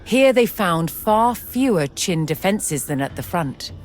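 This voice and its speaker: A woman giving a measured, formal, scripted historical narration in a documentary style. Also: lowish voice, very animated. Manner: measured, formal storytelling delivery. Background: music.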